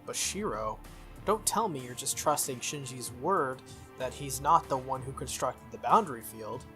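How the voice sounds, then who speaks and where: A man reading the lines of a sharp, proud teenage schoolgirl from a visual novel. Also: medium pitch, expressive, moderate pace. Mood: grudging, probing. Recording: music behind the voice.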